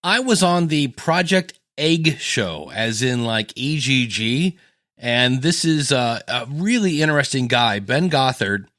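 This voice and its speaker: A man's voice: medium pitch, lively intonation, a little slow, fairly bright tone. An American man from Ohio hosting a podcast in a casual, rambling, self-deprecating way, acting out quotes as he goes. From Ohio, United States. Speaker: podcast host. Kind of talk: casual solo podcast talk.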